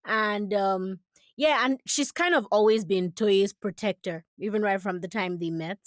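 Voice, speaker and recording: A woman guest reflects casually on her work. Her voice sits at a middle pitch and is recorded dry, and she talks in a thoughtful, hedging way, like relaxed conversation. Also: unhurried, dry recording. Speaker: voice actor guest. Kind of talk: casual reflective interview talk.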